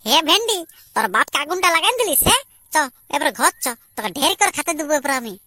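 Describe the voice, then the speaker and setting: A woman's voice: high voice, sing-song, fast talker, pushed and bright, full character voice. A woman voicing a harried village wife and mother in a comic cartoon, complaining in a rural Purulia Bengali accent. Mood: scolding. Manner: complaining, protesting. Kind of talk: amateur comic cartoon dialogue.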